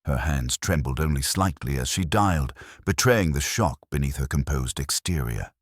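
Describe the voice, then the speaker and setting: Very deep man narrating a mystery. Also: animated, husky, dry recording, clean studio sound. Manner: measured, literary, dramatic storytelling. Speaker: audiobook narrator. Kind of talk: scripted mystery story narration.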